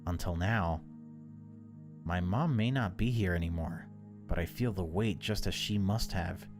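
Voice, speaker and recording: A man's voice: deep voice, unhurried. A man voicing the solemn, brooding protagonist of a horror visual novel. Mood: grim revulsion. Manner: solemn, dramatic reading. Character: brooding horror-novel narrator facing a loathed creature. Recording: music behind the voice.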